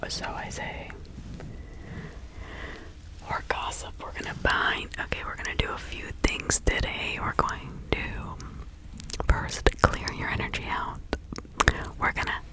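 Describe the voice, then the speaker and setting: A woman playing a kindly but indignant village witch healer in an ASMR roleplay, in a hushed, breathy, halting whisper. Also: natural voice, low-quality recording. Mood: confiding, reassuring.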